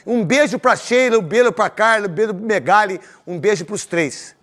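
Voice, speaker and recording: Brazilian guy hosting sports talk, really high voice, loud and teasing. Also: moderate pace. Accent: Brazilian Portuguese. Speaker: host. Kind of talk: heated sports talk show chat.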